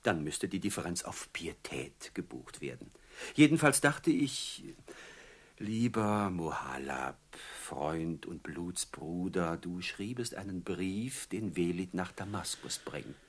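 An actor playing a mocking, falsely courteous bandit chief in a German radio play, speaking with theatrical, oily politeness. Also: male voice, low-pitched, animated, slow pace, slightly rough, exaggerated voice. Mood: falsely courteous, gloating.

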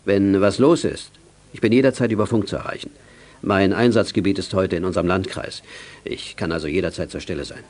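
A man's voice: low voice, fairly even intonation, a little fast, darkish tone. A man playing a protective, reassuring adult mentor to children in a radio play. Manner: fatherly, cautioning.

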